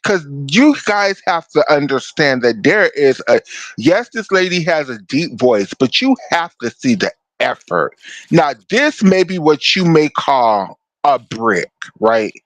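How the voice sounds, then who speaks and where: Man, deep voice, blunt, emphatic, lively pitch, dry close sound. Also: measured pace, no room sound, poor audio. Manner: emphatic, blunt, swears freely. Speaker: podcast co-host. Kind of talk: casual chat.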